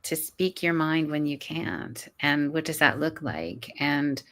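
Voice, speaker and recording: A woman's voice: sits low, lively intonation, slow, a bit bright. A woman in an interview who talks earnestly as a guest, restarting her words often and sometimes laughing as she goes. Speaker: guest, college professor. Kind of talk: conversational interview.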